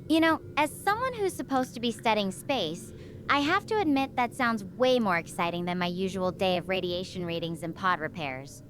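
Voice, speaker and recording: A woman's voice: mid-range voice, expressive, moderate pace, fairly bright tone, slight background noise, lightly acted. A synthetic woman's voice playing a stranded young space researcher, chatty and full of questions. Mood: curious. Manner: chatty, curious, asks many questions. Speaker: astrophysics researcher.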